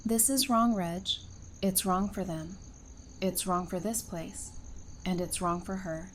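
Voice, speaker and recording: A woman's voice: lowish voice, fairly even intonation, slow, a little hiss or hum. Frank, informal woman played by an actress. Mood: concerned, pleading. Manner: direct, informal. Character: frank, caring, teasing woman, Talissa. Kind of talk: audio drama acting.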